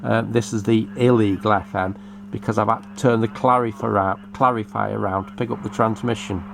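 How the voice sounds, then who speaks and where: Creaky-voiced middle-aged British man. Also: lowish voice, fairly even intonation, brisk, slight background noise. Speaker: radio repairer presenting a hobby video. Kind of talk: unscripted show-and-tell narration.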